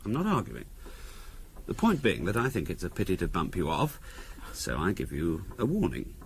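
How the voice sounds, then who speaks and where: An actor playing a wry, teasing middle-class English husband in a 1970s radio drama, conversational with deliberate pauses. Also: male voice, sits low, wildly swooping pitch, gravelly, heavily acted. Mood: teasing, provocative. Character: teasing middle-class husband, dryly morbid, provocatively theorising. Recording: faint hiss on 1970s radio recording.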